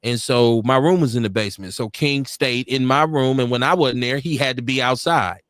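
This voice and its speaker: A man's voice: mid-range voice, normal speed, fairly bright tone, no room sound, studio quality. A man co-hosting a casual live podcast, rambling through stories with frequent self-corrections and laughs. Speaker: podcast co-host. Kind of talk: casual chat. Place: home studio, livestream.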